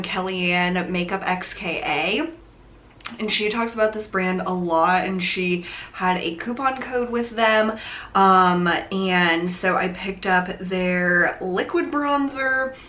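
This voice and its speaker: A woman's voice: medium pitch, fairly bright tone, slight background noise. A young woman with a slightly nasal, allergy-stuffed voice, chatting casually through a makeup tutorial with lots of "like" and "um". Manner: chatty, rambling with many "like" and "um" fillers. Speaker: beauty vlogger.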